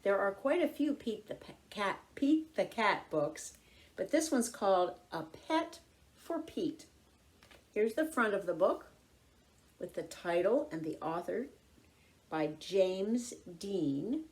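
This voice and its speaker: A woman narrates a children's picture book as a story reader. Her delivery is unhurried and deliberate, with a lively, rising and falling melody in a mid-range voice. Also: mid-range voice. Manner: expressive, deliberate, clearly phrased.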